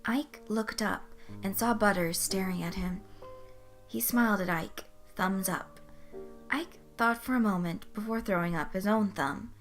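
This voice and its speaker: A woman's voice: mid-range voice, a little slow, faint music behind. A woman reading scripted fan fiction as its narrator.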